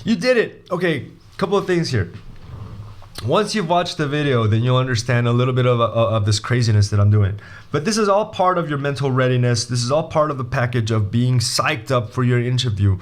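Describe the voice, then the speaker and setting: Young guy coach, lively, loose, talks straight to camera, mid-pitched voice. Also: fairly quick. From Brazil. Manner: animated, restarts sentences, jokey asides. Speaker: MBA admissions coach. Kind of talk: casual video lesson.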